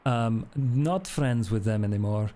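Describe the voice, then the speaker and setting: A man's voice: fairly low pitch, measured pace, mellow, lightly acted. A man playing a shady vampire drifter, eager and haggling, who stammers through his offers in a tabletop game. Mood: agreeable, wary.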